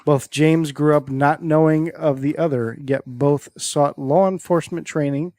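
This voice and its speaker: A man's voice: fairly high pitch, steady tone, a little slow, a little mellow, no echo, studio quality. A man hosting a podcast and reading out a list of strange stories in a casual way, now and then tripping over names; his first language is Greek. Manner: storytelling, stumbles over names and words. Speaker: podcast host presenting a list.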